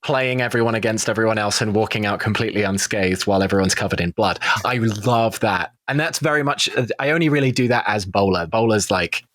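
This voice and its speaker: A man's voice: on the low side, fairly bright tone, no echo. Man chatting with a close, dry sound. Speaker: podcast cast member and player. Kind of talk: casual roundtable chat.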